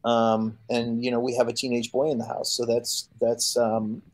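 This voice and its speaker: A man's voice: mid-range voice, moderate pace. A man in his fifties from Chicago, telling stories as an interview guest over a video call, acting out quoted voices with lots of fillers. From Chicago, United States. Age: 50s. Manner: animated storyteller who acts out quoted voices, many fillers. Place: home room.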